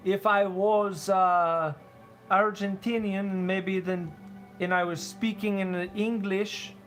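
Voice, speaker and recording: A man putting on a goofy, halting accent he imagines as Argentinian. It sits noticeably higher than his usual voice and stumbles along with pauses and restarts, over faint music. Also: fairly even intonation. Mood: playful. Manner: halting, hesitant, exaggerated.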